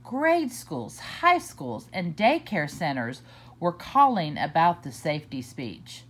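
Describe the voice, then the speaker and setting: A woman reading a children's picture book aloud for story time, slow and deliberate with expressive swoops. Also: sits low, breathy edge.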